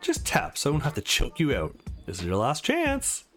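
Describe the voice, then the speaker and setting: A man's voice: medium pitch, wildly swooping pitch, moderate pace, fairly bright tone, faint music behind. A man playing a cocky, boastful young man who taunts a sparring rival in a visual novel.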